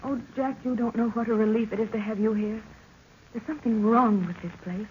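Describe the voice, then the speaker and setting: A woman's voice: fairly high pitch, fairly even intonation, brisk, lightly acted. An actress playing an anxious, haunted young woman with melodramatic hesitations in an old-time radio drama. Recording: dull, noisy vintage radio recording.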